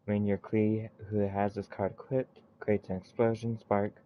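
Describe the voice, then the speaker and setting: A man giving casual gameplay commentary, haltingly reading text aloud and muttering to himself. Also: lowish voice, level tone, lo-fi sound. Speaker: gaming streamer. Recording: faint background noise.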